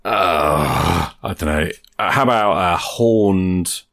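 A man with a low voice improvises story details as a player in a tabletop game. His delivery is casual and thoughtful, a little hesitant as he pieces ideas together. The recording is close and dry. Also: takes it slowly, vocal fry, a bit bright, no echo.

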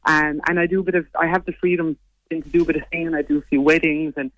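Irish woman, 20s, low voice, chatty, dry close sound, lo-fi radio. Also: steady tone, dry recording. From Ireland. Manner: quick, chatty, lots of "like" and "you know". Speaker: singer guest. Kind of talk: casual interview chat.

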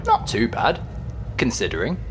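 A man's voice: medium pitch, wildly swooping pitch, moderate pace, a little hiss or hum. A man playing a polite, slightly nervous and friendly ghost in an audio drama, chatty and understated.

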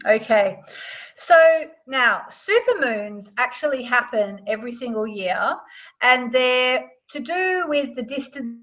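Higher-voiced woman, slightly muffled audio. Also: expressive, slow, fairly bright tone. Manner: informal, conversational. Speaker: astrology author, interview guest. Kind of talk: casual explanatory chat.

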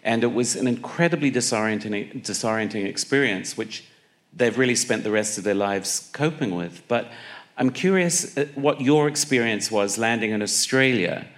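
A man's voice: mid-range voice, moderate pace. Curious male interviewer. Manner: curious, probing questions. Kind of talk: interview questions.

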